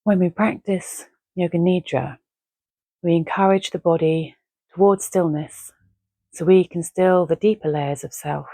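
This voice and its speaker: A woman guiding a relaxation meditation in a gentle, measured, soothing way, leaving long pauses between phrases. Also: lowish voice, no room sound. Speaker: meditation guide. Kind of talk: guided Yoga Nidra relaxation, soothing and measured.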